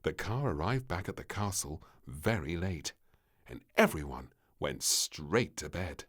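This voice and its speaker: A man narrating a children's storybook aloud in an unhurried, storytelling manner. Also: sits low, animated, a bit bright, husky.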